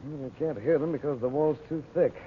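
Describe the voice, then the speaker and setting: Actor as a confident radio-serial adventurer, tinny recording. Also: male voice, fairly high pitch, brisk, a little hiss or hum, close to an everyday voice. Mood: patient, reasoning. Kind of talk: old-time radio serial acting.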